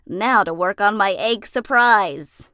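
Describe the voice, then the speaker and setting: A confident, cheerful male elephant baker from a children's storybook, voiced by a woman. He speaks emphatically and sounds sure of himself, with a mid-range pitch in a dry recording. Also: slow, bright, forceful tone, no echo.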